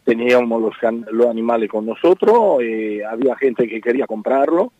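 A man's voice: medium pitch, animated, normal speed, dry recording, dull audio. A middle-aged Spanish man with an Italian accent, a circus owner answering in a radio interview, hesitant and repeating his words. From Spain. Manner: hesitant, repeats words, says "mire" often.

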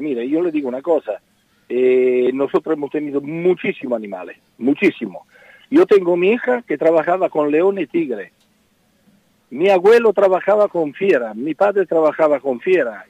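A man's voice: on the high side, expressive, normal speed, dry recording. Spanish man with Italian accent, dull sound. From Spain. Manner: hesitant, repeats words, says "mire" often. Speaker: circus owner. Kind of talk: radio interview answers.

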